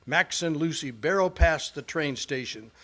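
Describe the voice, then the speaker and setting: A man's voice: a little high, animated, moderate pace, sharp tone. A man reading scripted narration for an old-time style radio play.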